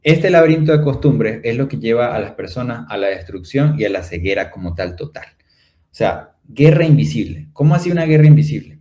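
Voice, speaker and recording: A man's voice: medium pitch, animated, a little fast, warm tone. A man with a Venezuelan accent giving an earnest, explanatory talk, often posing questions to his listeners. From Maracaibo, Venezuela. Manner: rhetorical questions with repeated tag phrases. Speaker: speaker on a spiritual talk show.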